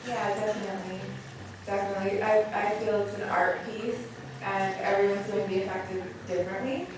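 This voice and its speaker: A very echoey, noisy recording of a woman speaking away from the microphone. Her pitch is average, and she answers informally as an actress on a live film panel. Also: fairly even intonation, a little fast. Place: movie theater Q&A. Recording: very echoey noisy theater, distant mic.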